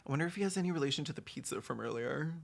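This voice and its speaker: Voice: medium pitch, expressive, rapid-fire, vocal fry, over music. A video host giving sarcastic, crude comic commentary in a dry, snarky manner. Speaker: host of a reaction video show.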